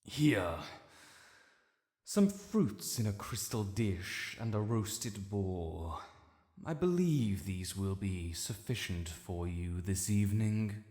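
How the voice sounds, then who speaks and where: A British man voicing an ancient dragon lord, regal, stern yet protective, with formal, measured diction in a fantasy audio roleplay. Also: deep voice, dark tone. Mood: stately, weary. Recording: echoey cavernous reverb.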